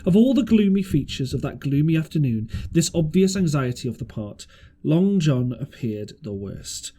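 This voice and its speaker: A man's voice: a little high, lively intonation, normal speed, mellow. A man reading a storybook aloud as an amateur audiobook narrator, occasionally stumbling and rereading phrases. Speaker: audiobook reader.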